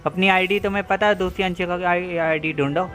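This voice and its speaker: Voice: mid-range voice, fairly even intonation, very fast, a bit bright. A game streamer of unclear sex with an Indian accent, chatting casually on a live stream. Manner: mixes Hindi and English. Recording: faint game music behind.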